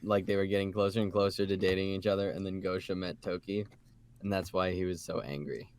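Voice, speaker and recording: A man's voice: sits low, flat delivery, fast. A man hosting a casual group podcast, talking informally with the others. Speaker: podcast host.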